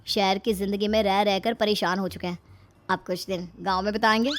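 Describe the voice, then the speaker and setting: A woman's voice: medium pitch, fairly even intonation, fairly quick, voice pushed down, close to an everyday voice. A woman voicing lazy, freeloading young sons-in-law in a breezy, wheedling manner for a comic story. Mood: breezy flattery.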